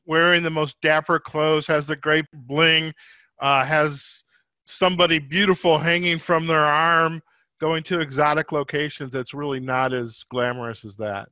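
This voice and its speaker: Bright-voiced male podcast host, close and dry. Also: on the high side, dry recording. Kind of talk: podcast interview hosting.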